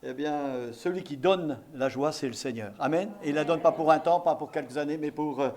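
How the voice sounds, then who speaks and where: A man's voice: a little high, brisk, a little mellow. A man from eastern France preaching a sermon as a visiting pastor, exhorting and repeating key phrases for emphasis.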